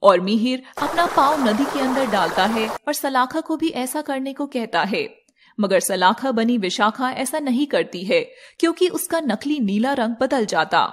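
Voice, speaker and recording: High-pitched woman storyteller. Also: lively intonation, unhurried, slight background noise. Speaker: narrator. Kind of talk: dramatic scripted fairy-tale narration.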